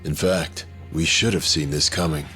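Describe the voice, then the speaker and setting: Very deep-voiced, cold yakuza schemer. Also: male voice, fairly even intonation, moderate pace, sharp tone, faint music behind. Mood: cool, resolute. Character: cold, calculating, ambitious yakuza officer. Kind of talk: video game English dub acting.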